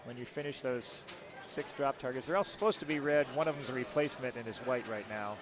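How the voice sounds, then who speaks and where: A man from Rochester giving live pinball tournament commentary, calmly explaining the rules and scoring of each shot. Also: mid-range voice, a little fast. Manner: explanatory, rule-focused play-by-play. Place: tournament hall. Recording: noisy crowd in the background.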